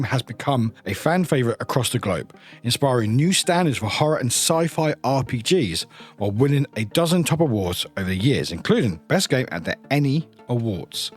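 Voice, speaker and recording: A man with a lively, swinging intonation gives casual unboxing commentary. He is informal and chatty, dropping into short trailing asides, with faint music behind him. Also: mid-range voice. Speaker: reviewer hosting an unboxing channel.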